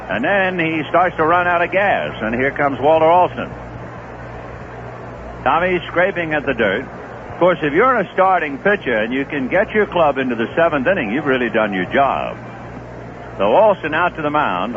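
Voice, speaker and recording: Man calling baseball on 1970s radio. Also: on the high side. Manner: lively, rising with big plays. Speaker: play-by-play announcer. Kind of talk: live baseball radio commentary. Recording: tinny, noisy 1970s radio broadcast.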